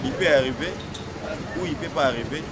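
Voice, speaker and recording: A Congolese man with a fairly high voice gives his opinion in a street interview. He is emphatic and insistent, talking into a small mic outdoors with loud traffic noise around him. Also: fairly quick. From Democratic Republic of the Congo. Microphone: small wired lapel mic held near mouth.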